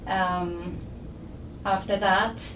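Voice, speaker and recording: A woman from Barbados with a Barbadian accent, speaking as a patient recounting her medical history in halting short phrases. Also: medium pitch, flat delivery. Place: indoor chiropractic clinic. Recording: echoey noisy room, distant mic.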